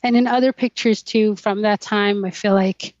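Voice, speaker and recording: A woman's voice: mid-range voice, steady tone, normal speed, darkish tone, no echo. Reflective Swedish-born woman, muffled dry audio. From Sweden. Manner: reflective, thoughtful, with light laughs. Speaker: podcast guest. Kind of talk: candid personal interview.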